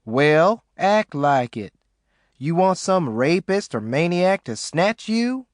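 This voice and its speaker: A man voicing a gruff, bossy lawman, scolding bluntly. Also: on the high side, sing-song, slow, no echo, clean studio sound, voice pushed up.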